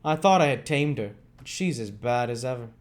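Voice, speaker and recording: A gruff, bullying robber, as a man reads him. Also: mid-range voice, animated, a little fast, lightly acted. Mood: grumbling. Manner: loud, gruff and threatening. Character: brutal, bullying grown male robber. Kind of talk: dramatic read-aloud.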